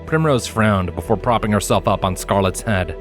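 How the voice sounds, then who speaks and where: A man's voice: fairly low pitch, normal speed. A man reading scripted story narration as the narrator of an audiobook. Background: soft music.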